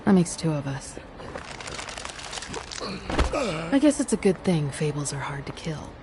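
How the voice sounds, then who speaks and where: A woman's voice: sits low, very animated, fairly quick, noisy background. A woman playing a tough, jaded, sardonic young streetwalker with a wry manner in a video game drama.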